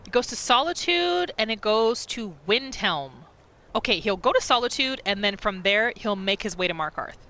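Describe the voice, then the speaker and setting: A woman's voice: medium pitch, expressive, normal speed, bright, forceful tone. A woman giving casual, storytelling commentary as she narrates a roleplay video game. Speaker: gamer narrating a roleplay series.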